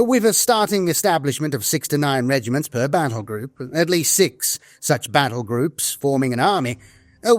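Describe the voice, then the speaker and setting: A man voicing a grave, dutiful staff officer of a far-future army in an audio drama, formal, solemn and measured. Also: medium pitch, dry recording, natural voice. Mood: formal, resolute. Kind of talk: scripted audio drama narration of war journals and briefings. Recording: music behind a studio voice.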